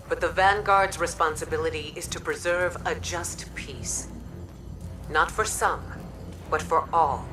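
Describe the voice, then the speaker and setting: An actress playing a firm, principled military leader, delivering a formal, rousing speech in a video game. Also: female voice, on the low side, recorded from a distance, close to an everyday voice. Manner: forceful, rousing, formal oratory.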